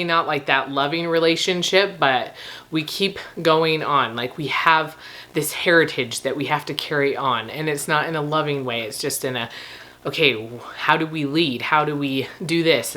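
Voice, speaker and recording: A woman with a slightly rough, recovering voice, rambling casually and informally to camera as she reviews books. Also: deep voice, sharp tone. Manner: informal, laughs often while talking. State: slightly rough, gravelly voice after losing it. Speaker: book review vlogger.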